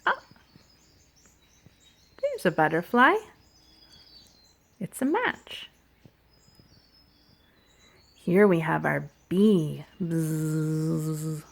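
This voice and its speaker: Slow, animated woman entertaining toddlers. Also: low voice, gravelly. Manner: slow, animated, simple child-directed phrasing. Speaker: childcare educator reading and singing to toddlers. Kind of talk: sing-song picture book storytelling and play for toddlers.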